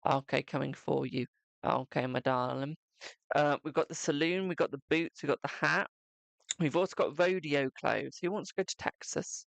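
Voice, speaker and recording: A man's voice: a little high, steady tone, normal speed, vocal fry, fairly bright tone, no echo. A man giving a live-stream psychic tarot reading, chatting to viewers and calling them "my darling".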